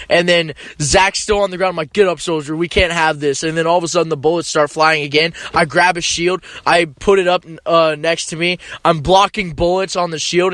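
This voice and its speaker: Higher-voiced young man swapping stories. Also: a bit bright, no room sound. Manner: swears freely. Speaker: podcast host. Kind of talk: casual storytelling chat with friends. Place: inside a car. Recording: noisy, recorded inside a car.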